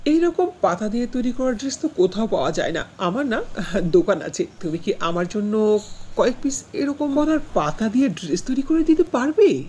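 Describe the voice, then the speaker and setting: A woman's voice: a little high, big pitch swings, moderate pace, darkish tone, a little hiss or hum. A woman voicing a businesslike, emphatic man who owns a shop and factory, in a fairy-tale cartoon. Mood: enthusiastic. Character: male shop and factory owner, businesslike customer.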